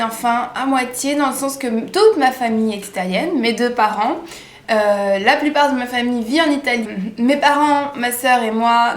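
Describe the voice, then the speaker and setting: A twenty-year-old woman from France talks to her camera in a relaxed, enthusiastic way, pausing often with small hesitant fillers. Her voice sits a little high. The room around her is echoey, with a camera-mic sound. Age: 20s. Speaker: vlogger. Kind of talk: casual introduction vlog.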